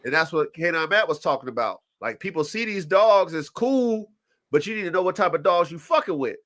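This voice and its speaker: A congested man hosting a live stream, his voice sitting a little higher than most men's. He talks in an animated, profane way, slipping into quoted voices of dogs and owners. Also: brisk. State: congested from allergies. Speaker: podcast host. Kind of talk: casual chat. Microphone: live stream mic.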